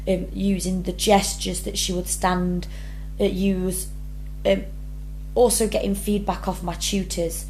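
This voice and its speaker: A woman's voice: lowish voice, darkish tone, slight background noise, rough recording. A young woman drama student, talking earnestly through her rehearsal blog and reading each question aloud before answering. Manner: earnest, many ums, reads prompts then answers.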